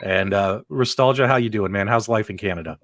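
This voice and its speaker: A man's voice: on the low side, brisk, fairly bright tone, slight background noise. A man hosting a casual livestream show, chatting and explaining things to co-hosts and viewers.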